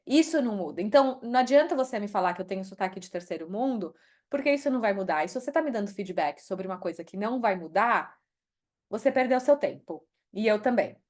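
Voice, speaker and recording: A woman's voice: mid-range voice, a little mellow. A middle-aged woman from Brazil with a Brazilian accent, speaking candidly as a podcast interview guest and slipping into English phrases. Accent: Brazilian Portuguese. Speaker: guest, HR executive.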